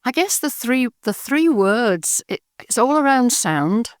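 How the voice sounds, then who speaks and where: A woman's voice: a little high, very animated, unhurried, no echo, clean studio sound. A woman in her sixties from Birmingham, talking thoughtfully as an interview guest, with frequent ums and repeated words. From England, Birmingham. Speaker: guest, audio drama writer and director. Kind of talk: casual interview chat.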